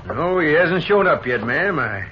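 An actor playing a helpful, folksy Old West ticket agent, a little hesitant, in a 1950s radio western. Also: male voice, fairly high pitch, very animated, unhurried. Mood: obliging. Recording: muffled, hissy 1950s radio recording.

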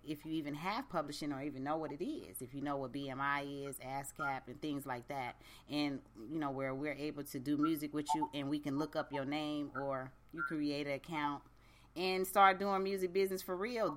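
A woman hosting a radio show in blunt, profane, laid-back slang, with a deep voice. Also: fairly bright tone. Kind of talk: casual radio chat.

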